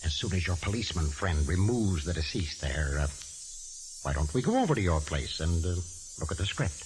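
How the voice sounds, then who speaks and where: An actor playing a suave, pompous, flirtatious film director in a 1940s radio drama, with an effusive, theatrical way of talking. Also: male voice, low-pitched, very animated, fairly quick. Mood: flirtatious, scheming. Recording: hissy, noisy 1949 radio recording.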